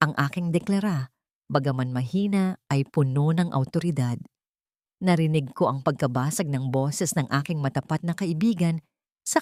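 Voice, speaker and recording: A woman's voice: deep voice, warm tone, no room sound, studio quality. A woman reading a scripted first-person story in a dramatic storytelling style. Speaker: story narrator.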